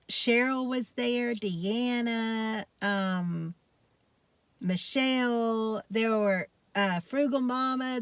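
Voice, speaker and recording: A woman's voice: medium pitch, no room sound. A woman with a strong South Georgia country accent, chatting casually in a folksy, rambling way as a vlogger. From South Georgia, United States. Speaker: health and fitness vlogger. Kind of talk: casual chat to camera.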